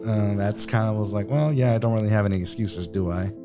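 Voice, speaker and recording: A man in his thirties from Austin, Texas, a guest talking in a relaxed, self-deprecating way. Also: lowish voice, quick pace, over music. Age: 30s.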